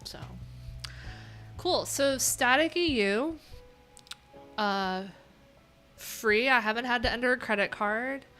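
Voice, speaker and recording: A woman thinking aloud in a casual, unscripted tech livestream, informal and halting, often trailing off mid-thought. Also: on the high side, bright, forceful tone, clear, resonant tone, soft background music. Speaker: livestream host, web developer.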